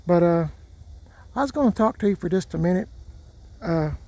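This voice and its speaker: An older man talks in a slow, folksy ramble and often repeats himself. His voice has a warm, mellow tone and sits a little high for a man. He speaks casually outdoors as the host of his own vlog. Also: lively intonation, no room sound. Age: 60s. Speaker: truck channel host. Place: outdoors by parked trucks.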